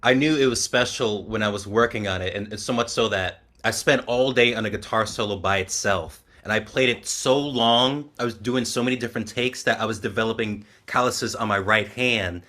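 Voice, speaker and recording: A man's voice: on the low side, brisk, hardly any pauses, pushed and bright. A man speaking politely and gratefully in a casual chat as a guest musician.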